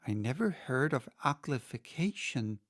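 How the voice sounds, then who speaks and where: A man's voice: medium pitch, big pitch swings, unhurried, a bit bright, no echo, clean studio sound. A man voicing a polite, curious young girl from a storybook, with a plain questioning delivery. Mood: puzzled. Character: polite, curious young girl Alice, timid yet indignant. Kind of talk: storybook character reading.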